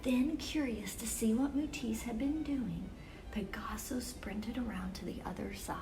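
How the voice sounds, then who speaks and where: Higher-voiced woman reading slowly to children. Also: noisy background. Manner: measured, deliberate phrasing with emphasis for young listeners. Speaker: children's story time host. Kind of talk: expressive read-aloud of children's picture books.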